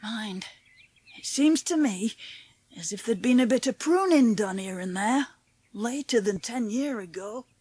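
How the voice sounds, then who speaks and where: A woman playing a friendly, gentle country boy with a Yorkshire accent in a dramatised audiobook. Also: a little high, lively intonation, unhurried, slightly rough, dry recording. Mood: friendly enthusiasm. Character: friendly, rustic Yorkshire moorland boy, gentle nature lover.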